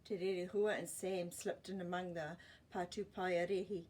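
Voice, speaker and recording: A woman's voice: fairly low pitch, little pitch movement, measured pace, darkish tone. A woman reading a children's story expressively, with playful asides to listeners.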